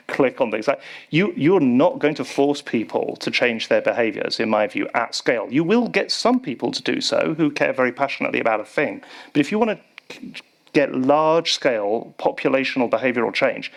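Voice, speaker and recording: A man on a conference panel giving his view in a measured, pragmatic way, thinking out loud. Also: medium pitch, gravelly. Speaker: panelist, tech executive. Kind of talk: panel discussion. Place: conference hall.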